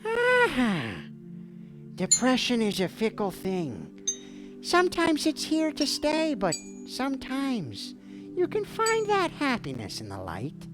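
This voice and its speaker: A woman hosting a livestream call-in show as a mock therapist, dreamy, theatrical and goofy, with a gravelly voice. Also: high voice, huge pitch swings, slow. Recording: music playing behind the voice. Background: background music.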